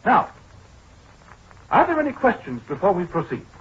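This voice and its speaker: An actor playing a proud, pedantic scientist in a 1940s radio drama, lecturing precisely with small hesitant interjections. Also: male voice, sits high, wildly swooping pitch, moderate pace, a bit bright, breathy edge, full character voice. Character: proud, pedantic male scientist showing off a giant computer. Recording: dull, muffled 1940s radio broadcast.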